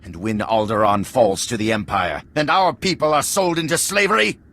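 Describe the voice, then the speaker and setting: Man acting forceful, defiant would-be king. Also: medium pitch, moderate pace, slight background noise, natural voice. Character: defiant, proud would-be king, self-righteous villain. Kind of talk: video game cutscene acting.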